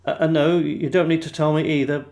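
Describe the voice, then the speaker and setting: An actor playing a gentle, chatty elderly grandfather in an audio play, fond, reflective and a little halting. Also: a little high, level tone, natural voice. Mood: fond, coaxing. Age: older.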